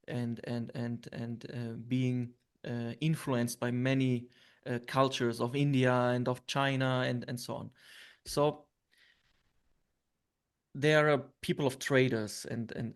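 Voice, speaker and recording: Gravelly-voiced man from Syria, hesitant. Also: mid-range voice. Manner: hesitant, many fillers and restarts. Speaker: interview guest. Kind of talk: reflective interview answers.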